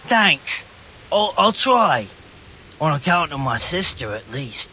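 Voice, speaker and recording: An actor playing a dim-witted, easygoing young bandit in a video game, rambling and hesitant in simple colloquial speech. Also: male voice, fairly high pitch, very animated, takes it slowly, sharp tone, slight background noise, heavily acted. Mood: sheepish. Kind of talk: video game dialogue acting.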